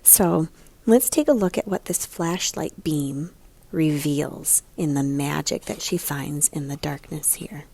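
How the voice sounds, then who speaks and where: A woman art teacher leading a picture book lesson for young children in a guiding way, with a breathy, husky voice. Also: sits low, takes it slowly, warm tone. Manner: guiding, prompts listeners with questions.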